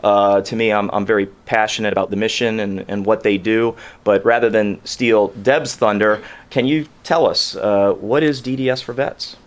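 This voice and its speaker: Chatty, fairly low-voiced male host. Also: steady tone, moderate pace, hardly any pauses. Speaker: show host. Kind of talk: interview radio show hosting. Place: local radio studio.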